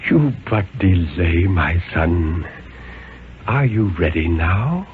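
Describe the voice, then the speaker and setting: A man voicing a solemn, gently chiding ancient man in a slow, sighing, fatherly way for a radio story. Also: low voice, expressive, pitched below the performer's own voice. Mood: gently beckoning. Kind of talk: 1950s radio dramatic reading. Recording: narrow-band, noisy old radio recording.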